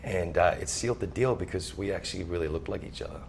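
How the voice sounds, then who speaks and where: A man from New with a gravelly voice, telling relaxed, self-deprecating stories in an interview. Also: low-pitched, quick pace, slight background noise. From New Zealand. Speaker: actor being interviewed.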